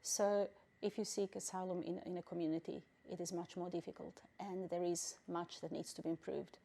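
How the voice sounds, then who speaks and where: A middle-aged woman from Bosnia, a refugee council president, answering interview questions in a measured, thoughtful way with frequent pauses and fillers. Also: lowish voice, flat delivery, creaky, mellow, a little hiss or hum.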